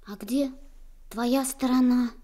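An earnest little boy of a gentle household in a Soviet radio play. The voice is very high and mellow, and takes its time over the words. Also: steady tone, slow. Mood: curious. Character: earnest, kind-hearted little boy, an admiral's son. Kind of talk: Soviet radio drama acting.

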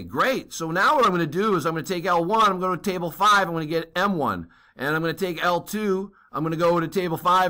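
A man's voice: a little high, sharp tone. A man teaching a navigation math lesson, working a problem step by step and thinking aloud, checking in with "okay?" and plenty of fillers. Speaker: navigation instructor.

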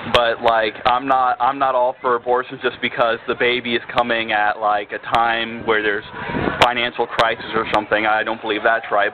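A young man speaking at an outdoor campus open mic, hesitant and filling with "like". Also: mid-range voice. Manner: hesitant, lots of "like". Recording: tinny lo-fi with loud background noise.

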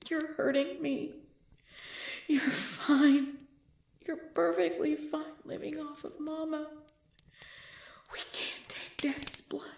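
A woman playing a proud, pregnant vampire leader in pain, speaking formally and dramatically in an ASMR roleplay with breathy drops toward a whisper. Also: high voice, expressive. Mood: pleading, weary. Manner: slow, formal, dramatic, often dropping to whispers.

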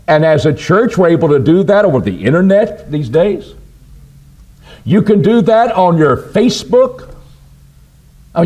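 An older man preaching a sermon from a church pulpit, asking his listeners rhetorical questions and answering them himself. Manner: lively, rises and drops in pitch for emphasis, asks rhetorical questions. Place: church auditorium at a wooden pulpit. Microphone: pulpit microphone on a stand.

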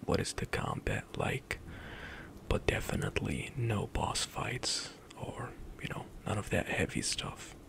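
Young male ASMR gamer, slow gravelly whisper, close-miked. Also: on the low side, huge pitch swings. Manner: soft whispered rambling with light laughs. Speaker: ASMR gaming streamer. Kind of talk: whispered ASMR gameplay commentary. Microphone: close studio mic, headset on.

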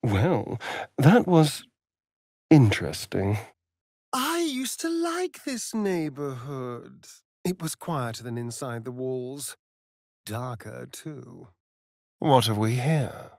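A sardonic male vampire rogue from a fantasy game. His arch, seductive drawl is unhurried and swings theatrically up and down. The recording is dry and close. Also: mid-range voice, very animated, a little mellow, no echo, exaggerated voice. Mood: sly.

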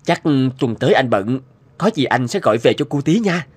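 Earnest, kindly husband, medium pitch. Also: male voice, lively intonation, normal speed, slightly rough. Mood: reassuring. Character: earnest, kindly adult male writer and husband. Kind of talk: animated moral tale dubbing.

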